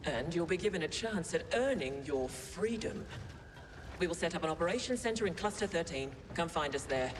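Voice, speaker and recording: A man's voice: fairly high pitch, animated, fairly quick, close to an everyday voice. A man playing a haughty, condescending corporate overseer in a video game, speaking in a pompous, formal, wordy way with cold menace. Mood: smug, commanding. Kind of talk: video game cutscene acting. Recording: distant voice in reverberant hall, noisy ambience.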